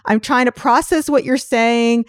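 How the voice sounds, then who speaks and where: A woman hosting a podcast interview in a chatty style, jumping between quick asides and her next question. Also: on the high side, fairly even intonation, dry recording, studio quality. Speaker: podcast host. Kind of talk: casual interview chat. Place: New York.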